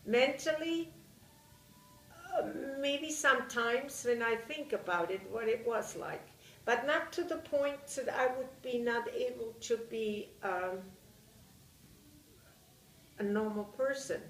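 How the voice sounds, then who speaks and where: An older woman from Germany with a Bavarian German accent, recounting her memories in an interview, often pausing to search for words. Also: on the high side, fairly bright tone, echoey room, recorded from a distance, slight background noise, low-quality recording. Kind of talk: oral history interview.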